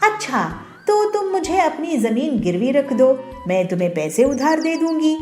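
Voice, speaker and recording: A woman puts on a greedy, arrogant rich landlady bird for a cartoon, bossy and scolding. The voice is high and swings widely in pitch. It is heard in an echoey room with faint music under it. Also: very animated, moderate pace, darkish tone. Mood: scheming. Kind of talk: Hindi cartoon voice acting.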